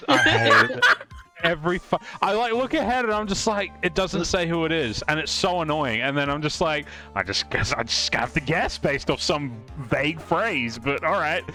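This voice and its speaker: A man's voice: fairly high pitch, normal speed, music in the background. A man chatting casually as a streamer in a live game dub with friends. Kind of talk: casual chat.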